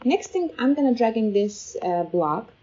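A woman with a soft-edged, mellow voice walks through software steps as a tutorial host. Her delivery is informal and a bit hesitant, in a casual step-by-step style. The voice-over sounds somewhat muffled and lo-fi. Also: a little high, lively intonation, moderate pace. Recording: slightly dull, lo-fi voice-over.

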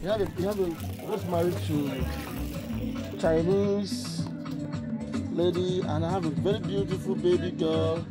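A man's voice: sits high, fairly even intonation, unhurried. A man working as a market trader, speaking enthusiastic, repetitive, non-native English in a casual interview. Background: loud background music.

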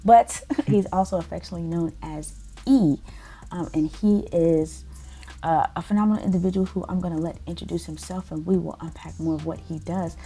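A woman's voice: lowish voice, brisk, dark tone, breathy edge. A young adult woman from the United States hosting a podcast interview, upbeat and sometimes searching for words, on an earbud mic over a video call from home. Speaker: podcast host. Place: home room. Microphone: earbud headset mic on a video call.

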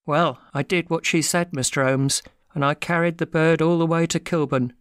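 A man's voice: fairly high pitch, fairly even intonation, normal speed, fairly bright tone, clean tone, no room sound, clean studio sound, close to an everyday voice. An actor playing an anguished working man confessing to a theft in a dramatic audiobook, telling his story with self-pity. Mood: anxious recounting.

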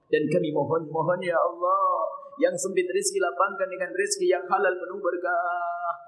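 High-pitched middle-aged man, fervent prayer, pleading, murmurs rising to shouts, headset mic, mosque pulpit. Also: low-quality recording. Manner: fervent pleading, swings between low murmurs and shouted cries. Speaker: Friday preacher. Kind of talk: impassioned sermon prayer.

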